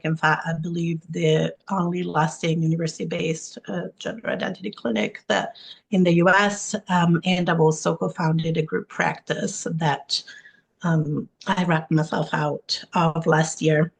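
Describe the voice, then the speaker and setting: A non-binary therapist from Italy, with Italian as a first language, being interviewed as a guest expert. They speak warmly and earnestly over a video call, often listing things in long runs. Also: mid-range voice, level tone, unhurried, gravelly, husky. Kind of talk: interview, self-introduction.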